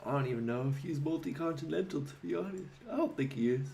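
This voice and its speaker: A man hosting a casual livestream, talking informally and haltingly as he thinks aloud and answers chat. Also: a little high, gravelly, mellow, slight background noise.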